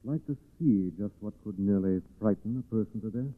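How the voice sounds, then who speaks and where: An actor playing a cool, resolute gentleman sleuth in a 1940s radio drama, assured and urbane. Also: fairly low pitch, fairly quick, close to an everyday voice. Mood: calm, determined. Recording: phone-like 1940s radio recording.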